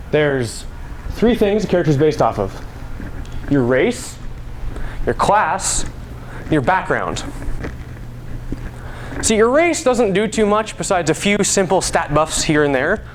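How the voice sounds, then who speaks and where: High-voiced young man giving a speech. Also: low-quality recording. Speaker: student presenter. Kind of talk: prepared live speech. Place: school stage. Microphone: clip-on mic. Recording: live room, background noise.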